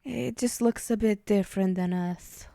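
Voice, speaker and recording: A woman playing a possessive, teasing female demon in an ASMR roleplay, menacing yet indulgent, hushed and speaking directly to the listener. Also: mid-range voice, moderate pace, gravelly, dry recording, clean studio sound, lightly acted. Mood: smug, coaxing.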